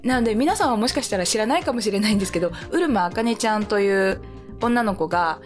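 A woman's voice: mid-range voice, lively intonation, fast, faint music behind. A woman co-hosting a radio show, chatting casually and sharing announcements.

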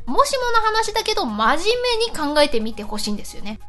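A woman's voice: very high voice, big pitch swings, fast, sharp tone, soft background music. A woman from Kansai hosting a casual radio show, chatting politely and reading out announcements in a giggly, friendly chat style. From Kansai, Japan.

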